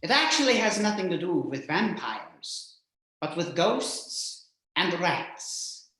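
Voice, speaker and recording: A vain, campy vampire count played by a man hosts a horror show in a very echoey room. The delivery is slow and melodramatic, with lively swoops in pitch. The Count relishes every spooky word. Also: full character voice. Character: flamboyant, vain, grudge-holding male vampire count horror host. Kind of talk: theatrical horror host narration.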